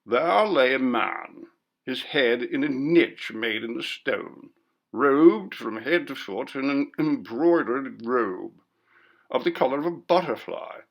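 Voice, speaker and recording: A man's voice: mid-range voice, lively intonation, slow, gravelly, dull audio, exaggerated voice. A man voicing a pompous, curt college bursar in a ghost story reading, with a disapproving manner. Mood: grave recounting.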